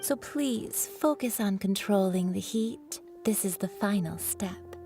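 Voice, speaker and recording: A woman's voice: medium pitch, slow pace, warm tone. A playful young woman who makes perfume in a fantasy game. She is teasing and coy, yet explains things like a patient teacher. Game music plays behind her voice. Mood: gently instructive. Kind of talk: video game voice acting. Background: background game music.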